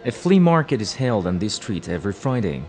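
A man narrates a TV feature as its reporter, with a very lively, varied intonation. The delivery is scripted, and a busy crowd can be heard underneath. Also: lowish voice, fairly quick.

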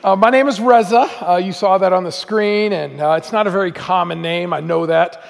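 Guy in his 40s preaching at church, kinda high voice, joking around and story-telling, hall rings behind him. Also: brisk, creaky. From Iran, raised in Southern California. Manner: chatty, self-deprecating, many fillers. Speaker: guest speaker. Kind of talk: storytelling sermon. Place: church auditorium. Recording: long reverb of a large hall.

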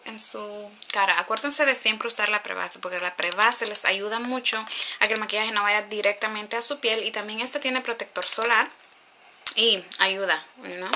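A woman's voice: on the high side, bright, forceful tone, slight background noise. A woman in her thirties from Honduras, chatting casually through a makeup tutorial at a close webcam in a small bedroom, rambling with asides and dropping in English words. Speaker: beauty vlogger. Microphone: webcam at close range.

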